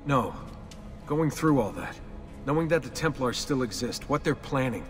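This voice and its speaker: A man's voice: medium pitch, animated, moderate pace, lightly acted. An actor playing a sarcastic young everyman in a video game, talking in a casual, wry way. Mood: apologetic. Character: sarcastic young everyman, reluctant assassin recruit. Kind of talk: video game cutscene acting. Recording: long reverb, music behind.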